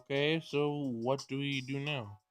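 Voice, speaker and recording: A man's voice: medium pitch, level tone, unhurried. A man doing a let's play of a visual novel, reading dialogue aloud with casual commentary and stumbling over words as he goes. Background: game music.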